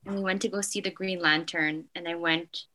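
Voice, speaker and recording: Young woman interviewee, slightly low voice, hesitant and filler-heavy. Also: fairly even intonation, a little fast, poor audio. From Canada. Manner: frequent "like" fillers, soft and hesitant. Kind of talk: casual reflective interview.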